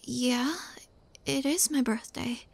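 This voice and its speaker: Shy, devoted young witch girlfriend, played by a woman, for intimate audio roleplay: high breathy voice, very slow and hesitant delivery. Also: exaggerated voice. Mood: bashful, flustered. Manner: hesitant, halting, soft-spoken, often dropping to whispers.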